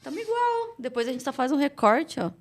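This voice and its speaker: A woman's voice: high-pitched, very animated, a little fast. A woman guesting on a podcast, playful and joking in a casual chat.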